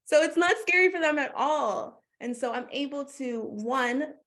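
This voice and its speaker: A woman from Canada, talking casually as a guest in an interview, full of "like" fillers and quick laughs. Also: high voice, a bit bright. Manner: chatty, many "like" fillers, laughs often. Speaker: guest, doula.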